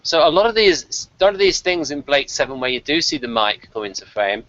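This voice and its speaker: A man's voice: a little high, very animated, brisk, fairly bright tone, no room sound. A man hosting a casual review discussion of a television series. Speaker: podcast host reviewing a TV series. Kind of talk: casual review chat with read-out notes. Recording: dull, slightly lo-fi audio.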